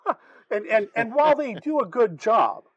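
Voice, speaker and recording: A man's voice: very high-pitched, lively intonation, normal speed, slightly rough, no room sound, highs cut off. A man taking part in a casual film discussion, explaining things in a knowledgeable, informal way.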